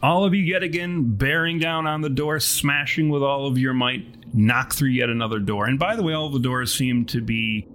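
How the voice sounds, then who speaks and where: A male game master delivers dramatic tabletop narration with florid, theatrical phrasing. His voice sits at an average pitch for a man, with some noise behind it. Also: moderate pace, a bit bright. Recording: noticeable background noise.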